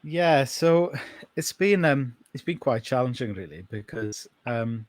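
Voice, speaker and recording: North Welsh pastor, thirties, Welsh native speaker; unhurried, hesitant delivery, dry close sound. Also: male voice, mid-range voice, expressive, dry recording. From Wales. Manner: unhurried, hesitant with many ums. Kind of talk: podcast interview.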